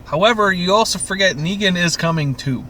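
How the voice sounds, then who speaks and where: A man's voice: high voice, brisk, pushed and bright, a little hiss or hum, rough recording. A man from Canada co-hosting a casual TV recap podcast, opinionated and swearing freely. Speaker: podcast co-host.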